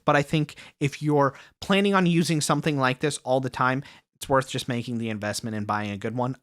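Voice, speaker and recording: A man's voice: mid-range voice, a bit bright. A man giving a casual product review with chatty, self-deprecating asides, speaking off axis into a studio dynamic microphone. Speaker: tech reviewer. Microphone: studio dynamic mic, spoken off axis.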